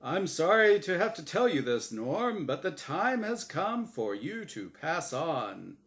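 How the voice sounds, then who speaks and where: A man voicing a glib, showy angel who hosts a game show, with booming announcer patter, in a story reading. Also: big pitch swings, slow, a bit bright, exaggerated voice. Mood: glib, upbeat.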